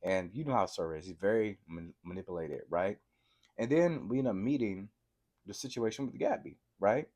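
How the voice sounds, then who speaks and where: Guy with a cold doing a tv recap vlog, real low deep voice, gets all hyped. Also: moderate pace. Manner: excitable, keeps asking "right?" to viewers. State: congested from a cold, sore throat. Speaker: TV episode reviewer.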